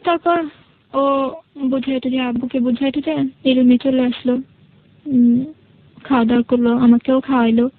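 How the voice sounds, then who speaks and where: High-pitched Bangladeshi woman telling her story. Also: fairly even intonation, normal speed, long pauses, narrow, tinny audio. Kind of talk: personal storytelling in a radio interview. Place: radio studio.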